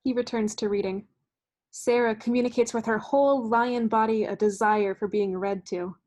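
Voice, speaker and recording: A woman's voice: a little high, fairly even intonation, moderate pace, a little mellow. A woman narrating a staged play reading over video call, reading out stage directions as scripted narration.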